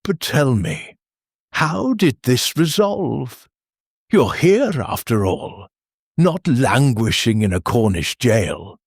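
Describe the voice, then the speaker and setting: A man voicing a shrewd old lady sleuth in an audiobook, knowing and gently inquisitive. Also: medium pitch, very animated, slow pace, breathy edge, dry recording, clean studio sound, full character voice. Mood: wry, probing. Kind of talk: audiobook character dialogue.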